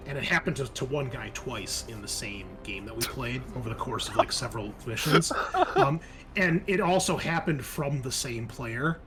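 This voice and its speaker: A man's voice: medium pitch, normal speed, music in the background. A man chatting casually as game master and host after a tabletop game, in an informal, rambling, sometimes sweary way.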